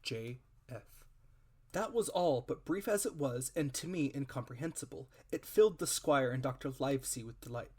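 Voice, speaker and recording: A man reading a classic adventure story as a dramatic narrator, with deliberate storybook phrasing. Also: fairly high pitch, darkish tone, breathy edge. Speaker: audiobook reader.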